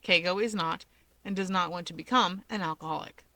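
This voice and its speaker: A woman's voice: lowish voice, a little fast, bright, forceful tone, no room sound. Woman reading fiction, close dry sound. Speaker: story reader. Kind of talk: scripted narration of fan fiction with character dialogue.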